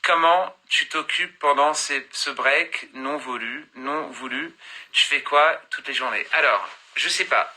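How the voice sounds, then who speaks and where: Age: 30s. Sex: man. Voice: very bright. Style: casual live-stream chat, reading fan comments into a phone and answering them, hopping between languages. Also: mid-range voice, unhurried. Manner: switches between languages mid-answer, reads comments then replies.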